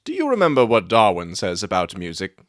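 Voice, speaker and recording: A man playing a self-assured gentleman detective in a dramatic audiobook, theatrical and articulate as he expounds his deductions. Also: on the low side, wildly swooping pitch, fairly quick, no room sound, clean studio sound. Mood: musing, assured.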